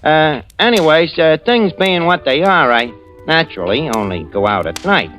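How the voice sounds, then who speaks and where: A man's voice: a little high, animated, moderate pace, a bit bright, soft background music. An actor playing a cocky, slangy small-time New crook, boastfully telling his tale in an old-time radio drama. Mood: boastful, amused. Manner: wisecracking, boastful, hesitant with frequent uhs. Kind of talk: old-time radio drama acting, first-person narration. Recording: noisy vintage radio recording with clicks.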